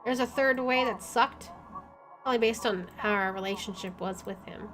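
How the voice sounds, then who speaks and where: A woman's voice: on the high side, moderate pace, fairly bright tone, soft background music. A woman doing playthrough commentary and reading game text aloud, with a playful, wisecracking manner. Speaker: video game let's player.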